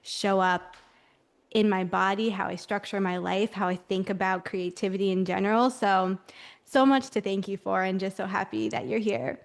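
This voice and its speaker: A woman's voice: mid-range voice, fairly even intonation, normal speed. A young woman hosting a podcast, asking thoughtful questions and affirming her guest. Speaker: podcast host. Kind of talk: interviewing.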